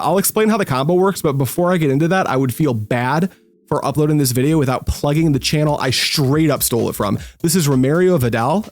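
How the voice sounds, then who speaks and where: A young man hosting his own card-game channel, talking through plays in a casual, energetic, self-deprecating way that jumps up into excited yelps. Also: medium pitch. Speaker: gaming channel host. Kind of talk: casual gameplay commentary.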